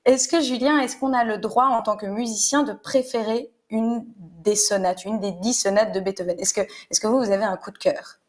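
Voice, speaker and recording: A woman's voice: mid-range voice, normal speed, few pauses. A young woman interviewing a guest over a video call, chatty and questioning. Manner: chatty, questioning, light laughter. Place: indoor room.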